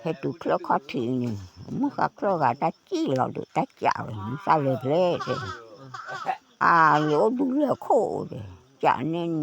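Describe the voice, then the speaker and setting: A woman telling a folk tale as a storyteller, in a slow, unhurried way with lively rises and falls. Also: low-pitched, vocal fry.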